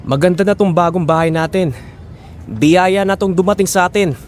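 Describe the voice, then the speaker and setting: A man's voice: high voice, moderate pace, noisy background, close to an everyday voice. A man playing a hopeful, stubborn husband and father in an audio drama, reassuring and insistent.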